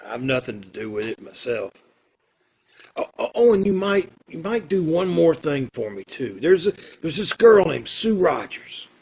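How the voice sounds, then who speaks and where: Male actor as protective condemned prisoner, husky, slightly high-pitched, hesitant folksy talk, old-time radio drama. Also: very animated, a little mellow, heavily acted. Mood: tender, subdued. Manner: hesitant, halting, folksy and informal.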